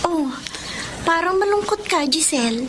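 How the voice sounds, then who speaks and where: A woman's voice: very high-pitched, lively intonation, a little slow, music in the background. An actress playing a shy, obliging, studious young female student in a radio drama, polite and timid, with a Filipino accent. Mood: concerned.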